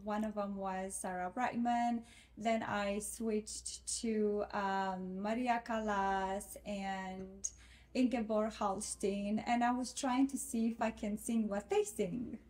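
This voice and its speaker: A woman's voice: medium pitch, darkish tone. A woman in her thirties from Romania, a classical soprano and non-native speaker, answering interview questions with many fillers and restarts. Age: 30s. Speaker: guest singer. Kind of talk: interview answers and recorded intro.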